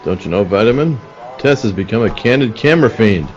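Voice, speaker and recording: A man's voice: on the low side, lively intonation, brisk, dull audio, soft background music, natural voice. A man playing a businesslike police detective in a radio play, matter-of-fact and firm. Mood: patient, dry. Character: level-headed, businesslike male police detective. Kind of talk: old-time radio play acting.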